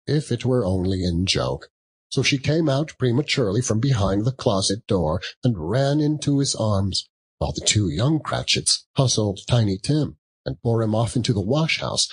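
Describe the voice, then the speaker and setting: A man's voice: lowish voice, slightly rough. A man narrating a classic story as a dramatic audiobook reading.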